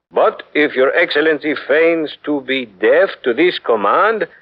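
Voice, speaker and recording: An actor playing a stern, proud Spanish fortress governor in a 1940s radio drama, haughty and indignant. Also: male voice, on the high side, exaggerated voice. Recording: dull 1940s radio recording.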